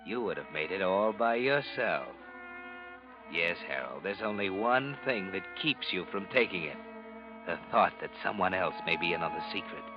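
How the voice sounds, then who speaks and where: An unseen, knowing narrator of a 1940s radio noir. His voice is low and highly animated, insinuating and theatrical as he taunts a guilty man. Music swells behind him on a muffled old broadcast recording. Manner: insinuating, theatrical, addresses the character directly. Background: dramatic music.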